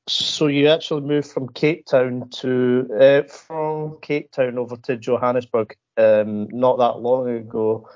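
A man's voice: mid-range voice, a little mellow. A man asks long, winding interview questions, stumbling over words and restarting often in a casual, self-deprecating way. The recording sounds somewhat dull and lo-fi. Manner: rambling, stammering, self-deprecating.

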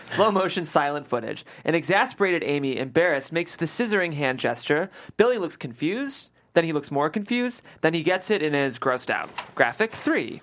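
A woman's voice: low-pitched, big pitch swings, moderate pace. A woman reading stage directions as scripted narration at a screenplay table read.

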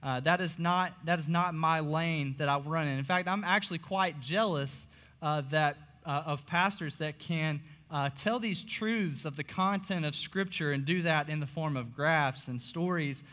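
Young man, pastor, slightly hoarse, earnest sermon, fairly high voice, long hall reverb. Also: a little slow, bright, forceful tone. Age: young adult. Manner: earnest, many "uh" fillers. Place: church auditorium.

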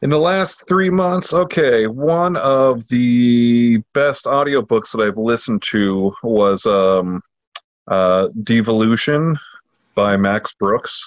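A man co-hosting a podcast, chatting casually in a halting way full of fillers, on a slightly dull recording. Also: mid-range voice, no echo. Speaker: podcast co-host. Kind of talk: casual chat, informal book recommendation.

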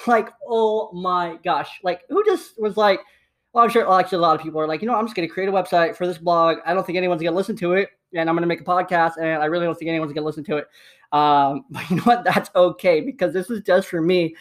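A man's voice: sits high, fairly even intonation, quick pace, a bit bright. A man in his twenties with a slight lisp hosting a casual solo podcast at home, upbeat and rambling, repeating himself. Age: 20s. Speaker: podcast host. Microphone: USB desktop microphone.

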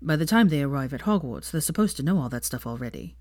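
A woman's voice: deep voice, animated, fast, darkish tone, no echo. A woman voicing a dry, scoffing young male teacher from a privileged family, drawling and teasing, in a story reading.

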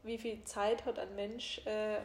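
A woman's voice: medium pitch, flat delivery, a little slow. A woman coach with a German regional dialect, speaking openly and laughing easily, with many fillers. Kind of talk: casual conversation. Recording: echoey room.

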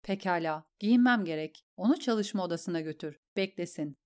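A woman's voice: on the low side, moderate pace, close to an everyday voice. A woman voicing a cold, commanding queen who speaks in an imperious, cutting way.

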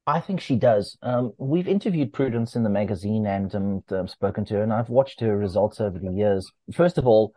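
A man who edits a running magazine, talking as a guest in a relaxed, explanatory way with plenty of fillers. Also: brisk, few pauses, no echo. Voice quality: bass voice. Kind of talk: casual interview talk.

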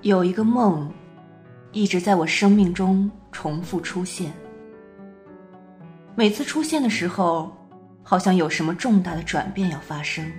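A woman plays a troubled wife telling her dream in a radio play. Her voice sits on the low side. She phrases deliberately, with dramatic pauses, over music. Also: darkish tone, lightly acted. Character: troubled half-French wife recounting her recurring dream. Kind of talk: amateur radio play monologue, slow and reflective.

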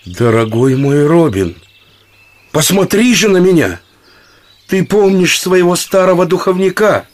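An actor playing a warm-hearted old friar in a radio play, with an imploring, expressive delivery. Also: male voice, high voice, measured pace. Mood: tender, urgent.

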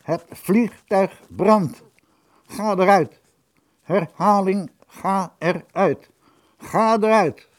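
A man voicing urgent telegraph messages that pass between a brain and its body parts, called out loudly word by word. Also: sits high, pitched above the performer's own voice. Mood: commanding, urgent. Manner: slow, word-by-word, loud calling.

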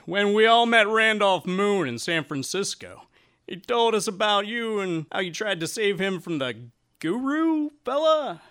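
A man's voice: high voice, expressive, unhurried, a bit bright, clean tone. A man playing a folksy, easygoing circus strongman in an audio drama, with a hearty manner.

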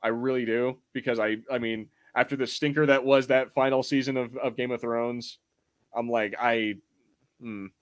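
A man's voice: medium pitch, steady tone, normal speed. Irreverent, sweary man chatting casually. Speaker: podcast host. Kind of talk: casual chat about fantasy film and TV.

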